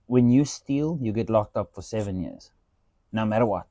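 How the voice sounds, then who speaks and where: A man with a strong Afrikaans accent, speaking in a dark, mellow voice. He tells stories in an animated, unfiltered way, peppered with swearing and "dude". The sound is dry and close. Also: on the low side, moderate pace, no echo. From South Africa. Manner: animated, swearing storyteller who says "dude" a lot. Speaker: podcast host. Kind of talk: casual chat.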